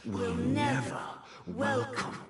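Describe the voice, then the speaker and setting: A man's voice: fairly low pitch, takes it slowly, crowd noise. A man playing a solemn, menacing otherworldly master of dreams in a video game, speaking in a stately, formal and coldly threatening way. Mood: stern, imperious. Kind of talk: dramatic video game voice acting. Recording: echoing, distant, loud music behind. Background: game music.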